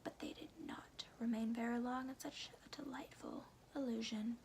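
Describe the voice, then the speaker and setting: Woman, hushed ASMR whisper, slightly crackly voice, storybook reading, breathy, careful phrasing. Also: high voice. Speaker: storyteller reading a book chapter aloud.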